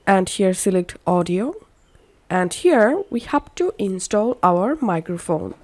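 A woman narrating a software tutorial, giving step-by-step instructions. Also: mid-range voice, sing-song, slow, no room sound.

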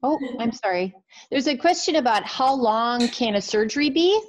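A woman's voice: fairly high pitch, animated, normal speed. A woman moderating an online tour, casually relaying questions in a tentative, conversational way over a video call. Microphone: remote video call. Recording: dull lo-fi video call audio.

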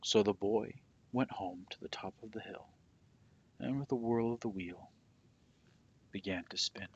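Slow, low-voiced male storyteller. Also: slightly rough, lo-fi sound. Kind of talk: children's picture book read-aloud.

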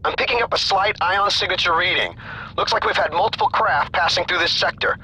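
An alert starfighter wingman in a space combat game. His radio voice is high and very sharp, over a faint rumble. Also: fairly quick, low-quality recording. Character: male starfighter wingman reporting scans, alert.

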